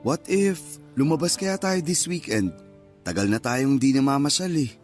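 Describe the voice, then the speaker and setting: Male actor as patient, caring husband; mid-pitched, gentle radio drama delivery, faint music behind. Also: very animated, normal speed, natural voice. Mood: concerned, coaxing. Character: caring, patient husband coaxing his mourning wife. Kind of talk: radio drama dialogue acting. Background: music bed.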